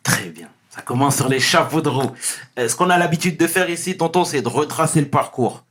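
A man's voice: a little high, animated, brisk, a bit bright. A man hosting a talk show in an upbeat way, slipping English phrases into his speech. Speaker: host.

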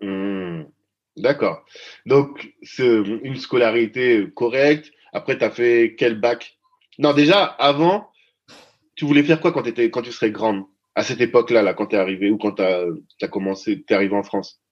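Guy asking questions calmly, mid voice, slightly muffled recording. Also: mid-range voice, moderate pace. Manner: calm, prompting questions. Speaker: interviewer. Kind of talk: casual interview.

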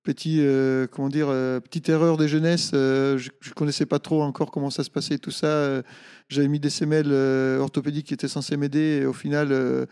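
A middle-aged man from Italy, a native Italian speaker, answering casually in an interview with halting, hesitant phrasing. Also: a little high, flat delivery, darkish tone, smooth, long reverb tail. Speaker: interview guest, ultra-trail runner.

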